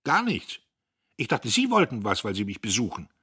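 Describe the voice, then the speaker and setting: A man voicing a haughty, self-righteous young man who answers in a shrill, indignant voice. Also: huge pitch swings, normal speed, fairly bright tone, breathy edge, exaggerated voice. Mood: curt.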